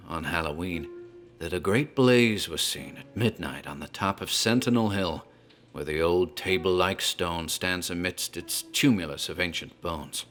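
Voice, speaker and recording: An actor playing a talkative tavern landlord who tells a grim tale in a deliberate, theatrical horror drama style. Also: male voice, low voice, a bit bright. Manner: deliberate, theatrical storyteller, literary wording. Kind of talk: dramatized horror storytelling, scripted narration.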